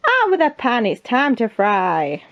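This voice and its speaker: A woman voicing a hungry, fussy, commanding male king in an emphatic, drawn-out way for a children's picture book. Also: a little high, huge pitch swings, highs cut off, pitched above the performer's own voice, full character voice. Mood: hearty, commanding.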